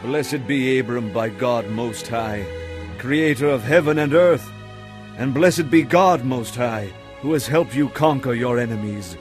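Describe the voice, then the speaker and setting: A man's voice: medium pitch, a little slow, faint music behind, close to an everyday voice. An actor playing a solemn priest-king in a dramatized audio Bible, proclaiming in a ceremonial way. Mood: reverent blessing. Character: Melchizedek, solemn priest-king giving blessing.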